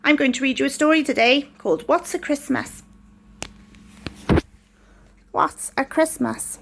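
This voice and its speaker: Woman doing storytime for kids, high voice, takes it slow, expressive. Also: gravelly, noisy background. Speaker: school staff member reading a story. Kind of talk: storytelling for children, read aloud.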